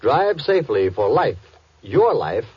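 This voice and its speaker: A man's voice: medium pitch, sing-song, measured pace, breathy edge, no echo. A man reading a solemn, scripted safety message in an earnest, oratorical old radio style. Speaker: public service announcer. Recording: dull, dry old radio recording.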